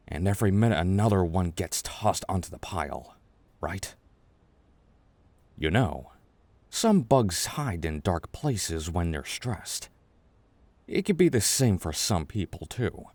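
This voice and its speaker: A man voicing a gentle, eccentric older chess king in an ASMR roleplay, reassuring and rambling in a halting way. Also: lowish voice, dry recording, exaggerated voice. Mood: gentle concern, then calm reassurance.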